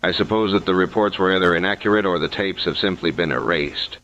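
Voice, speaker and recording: A man playing a methodical, sceptical investigator who records case notes in a measured, analytical way, as scripted analog horror narration. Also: low voice, fairly bright tone. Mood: measured, serious. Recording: dull lo-fi sound, faint noise.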